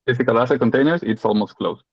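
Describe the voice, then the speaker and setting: A man's voice: medium pitch, fast, breathy edge, no echo. A man with a Mexican accent talks through a legal update in long, rambling, joking sentences. His voice sits mid-range and sounds dry and close. The video call audio is dull and lo-fi. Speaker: legal and data protection lead.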